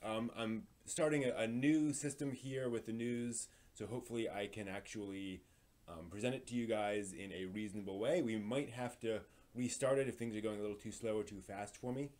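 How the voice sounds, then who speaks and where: A man's voice: on the low side, steady tone, brisk, a little mellow. Casual man hosting a news live stream. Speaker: live stream host presenting anime news.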